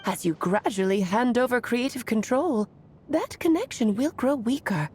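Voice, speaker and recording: A woman voicing a playful, caring magical friend who praises warmly and warns gravely. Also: a little high, normal speed. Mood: earnest. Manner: expressive, swinging between bright praise and grave caution. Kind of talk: video game voice acting.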